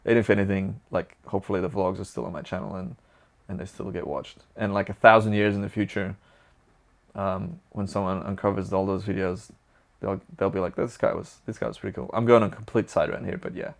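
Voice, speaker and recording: Upbeat Flemish-accented young man, lowish voice. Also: fairly even intonation, a little fast, vocal fry. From Belgium. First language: Dutch. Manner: chatty, upbeat, rambling with fillers. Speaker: vlogger. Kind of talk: casual Q&A answering viewer questions.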